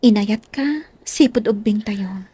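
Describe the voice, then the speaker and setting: An actress playing a gentle, affectionate young woman in an Ilocano radio drama, speaking softly and coyly with an Ilocano accent. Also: medium pitch, takes it slowly, breathy edge, no room sound.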